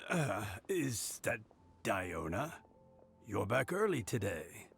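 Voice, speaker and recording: An actor playing a frail, kindly old man in a video game, with a creaky, halting voice and gentle chuckles. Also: lowish voice, big pitch swings, faint music behind, heavily acted. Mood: amused. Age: older. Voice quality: creaky, aged voice. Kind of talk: video game cutscene acting.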